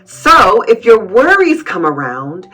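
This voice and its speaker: A woman reading a picture book aloud to young children, adding gentle, encouraging comments and addressing them as friends. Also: mid-range voice, huge pitch swings, slow pace, breathy edge, soft background music, poor audio. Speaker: children's read-aloud host.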